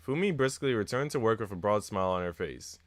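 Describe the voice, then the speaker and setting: A man's voice: fairly low pitch, lively intonation, fairly quick, no echo. A man on a live stream, reading visual novel text aloud and chatting casually with viewers. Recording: noisy mic with typing sounds.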